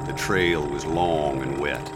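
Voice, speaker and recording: An actor playing a grim, commanding police inspector who recounts a raid in a radio drama. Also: male voice, very deep voice, steady tone, slow, background noise. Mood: grave, haunted. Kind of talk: radio drama acting with narration. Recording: music under the voice.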